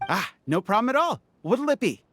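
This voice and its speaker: A performer voicing an obliging game food-stall vendor with a husky voice and friendly customer-service patter. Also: mid-range voice, very animated, fairly quick, a bit bright, no room sound, studio quality, voice pushed up. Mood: cheerful, obliging.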